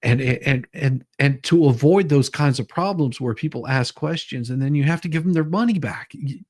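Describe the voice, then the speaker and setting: A man's voice: medium pitch, normal speed, a little mellow, no echo, clean studio sound. A man hosting an online show in casual chat, rambling and often stammering over repeated words.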